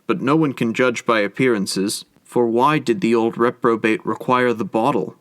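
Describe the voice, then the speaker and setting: A man voicing a boastful young husband who scoffs loudly. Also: medium pitch, fairly even intonation, normal speed, natural voice. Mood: scornful. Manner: scoffing and loud.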